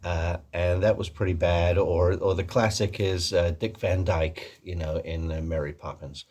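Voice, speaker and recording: A man's voice: low-pitched, steady tone, measured pace, darkish tone. A man chatting casually as a video host in a put-on American accent, rambling and joking at his own expense. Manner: rambling, jokey, self-deprecating. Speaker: host of a Doctor Who fan video channel. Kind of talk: casual comic vlog chat.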